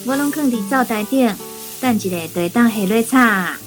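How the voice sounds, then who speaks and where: A fairly high-voiced young Taiwanese bride played by a woman in a radio drama, with music behind her. She is a dutiful, obliging daughter-in-law helping out in the kitchen. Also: expressive, a little fast, close to an everyday voice.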